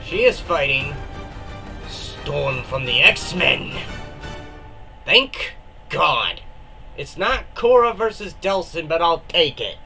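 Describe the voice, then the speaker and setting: Man, head cold, casual reaction host, exasperated, swearing, slightly high voice. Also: lively intonation, slow, long pauses. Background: loud music.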